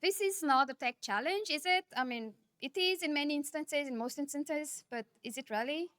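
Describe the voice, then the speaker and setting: A woman from Nepal, giving a conference keynote speech in a measured, earnest manner, often posing rhetorical questions. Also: sits high, a bit bright, no echo. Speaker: keynote speaker.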